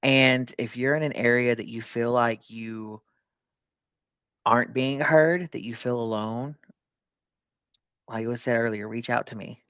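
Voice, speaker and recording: A man with a mid-pitched voice talks as a candidate being interviewed, in a dry recording with little room sound. His delivery is conversational and plain-spoken, with phrases repeated for emphasis. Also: steady tone, measured pace, long pauses, fairly bright tone, clean tone, no echo. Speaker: political candidate, podcast guest.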